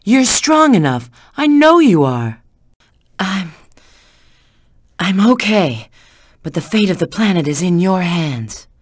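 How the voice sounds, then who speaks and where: A woman's voice: on the low side, very animated, takes it slowly, pauses often, no echo, studio quality, full character voice. A woman voicing a sly, flirtatious, confident treasure-hunting agent in a video game, giving hints and callouts with teasing assurance. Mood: earnest, urgent.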